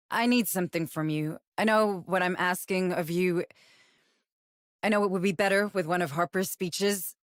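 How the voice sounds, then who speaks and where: A woman playing a resolute, solemn space-colony leader in a video game, speaking earnestly and with measured purpose. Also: on the low side, dry recording, studio quality, close to an everyday voice. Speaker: military operation commander. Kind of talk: dramatic video game acting.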